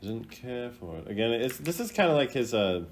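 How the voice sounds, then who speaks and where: A man's voice: medium pitch, sing-song, normal speed, a little hiss or hum. A man doing casual reaction commentary as a gaming creator, thinking aloud in an informal, rambling way. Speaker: video game content creator ranking voice lines.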